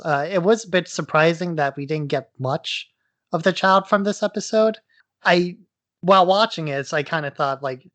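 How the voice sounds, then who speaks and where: A man's voice: on the high side, fairly bright tone, dry recording. A man co-hosting a podcast, talking through his views in a casual fan discussion. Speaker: podcast co-host.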